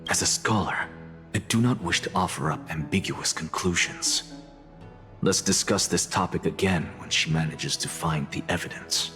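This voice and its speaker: A man's voice: sits low, normal speed, sharp tone. An actor voicing an arrogant, self-sacrificing male scholar in a video game, theatrical, self-assured and dismissive. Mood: haughty, assured. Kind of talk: dramatic video game voice acting. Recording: long reverb, music underneath.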